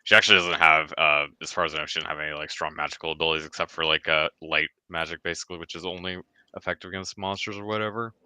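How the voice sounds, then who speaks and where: A man's voice: extremely low pitch, steady tone, very fast, bright, forceful tone, clear, resonant tone, dry recording. A man hosting a casual online chat show, talking loosely and laughing often between jokes. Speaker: podcast host.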